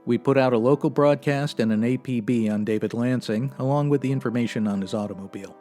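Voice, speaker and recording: Male actor, by-the-book old-time radio detective, man, deadpan, clipped, formal, medium pitch, faint music. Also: fast talker, lightly acted. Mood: matter-of-fact. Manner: deadpan, formal, matter-of-fact. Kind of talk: 1950s radio detective drama parody, clipped narration.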